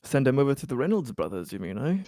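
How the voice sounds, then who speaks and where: A man's voice: medium pitch, very animated, fast, dark tone, no room sound. Man chatting in a dry, close recording. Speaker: player in a tabletop roleplaying game. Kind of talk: casual group planning chat.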